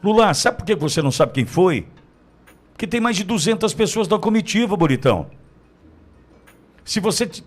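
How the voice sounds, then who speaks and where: A man with a Brazilian accent hosting a political talk show, commenting in a sarcastic, mocking way full of rhetorical questions. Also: high-pitched. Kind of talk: opinionated political commentary, conversational.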